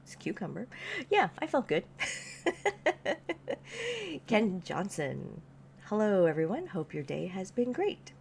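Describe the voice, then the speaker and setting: Gravelly, lively-voiced Canadian woman. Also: medium pitch, takes it slowly, a little hiss or hum. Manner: chatty, laughs often. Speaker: livestream co-host. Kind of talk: casual chat, reading viewer comments. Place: off-grid cabin.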